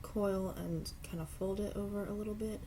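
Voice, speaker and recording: Woman, stuffy with a cold, mellow dark tone, unhurried craft tutorial. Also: mid-range voice, slight background noise. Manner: relaxed, unhurried, apologetic asides. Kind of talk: casual step-by-step craft tutorial. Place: home craft table.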